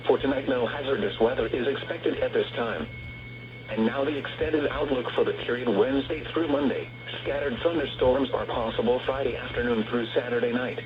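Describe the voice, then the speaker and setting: A synthetic male voice reads a scripted weather bulletin as a radio announcer, in a measured, formal and impersonal way. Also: mid-range voice. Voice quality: synthetic text-to-speech voice. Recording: muffled noisy weather radio broadcast.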